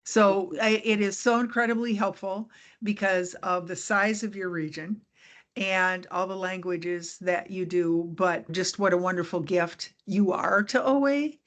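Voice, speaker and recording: A woman hosting a casual podcast interview, upbeat and encouraging, with quick asides. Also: mid-range voice. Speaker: podcast host.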